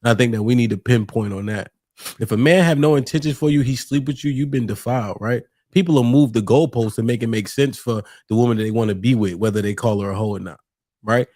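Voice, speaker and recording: A man hosts a casual debate show in a slangy, conversational way. His voice sits at a medium pitch and is recorded very dry, and he punctuates points with little tag questions. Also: fast talker, darkish tone, dry recording. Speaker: podcast host.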